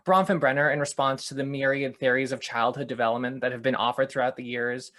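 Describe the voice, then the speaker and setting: An upbeat man introduces a children's segment and explains ideas plainly for families. His voice sits at an ordinary pitch, cheerful and clear in its pacing. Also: fairly bright tone. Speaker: religious education director.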